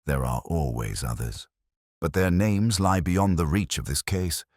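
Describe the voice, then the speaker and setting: A man voicing a grave, brilliant gentleman detective in a storybook, formal, measured and oratorical. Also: sits low, expressive, no echo, studio quality. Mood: grave, explaining.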